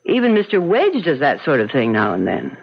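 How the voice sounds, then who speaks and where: An actress playing a brisk, courteous law office secretary in an old-time radio drama. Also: female voice, a bass voice, wildly swooping pitch, heavily acted. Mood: polite, firm. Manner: crisp, courteous, professional. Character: brisk, polite female law office secretary, protective of boss. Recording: dull lo-fi old radio recording.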